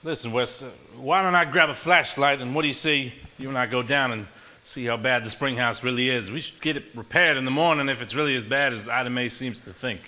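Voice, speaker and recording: An actor in a live stage play playing a supportive, reasonable family man in a measured, folksy manner. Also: mid-range voice, pushed and bright. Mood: helpful.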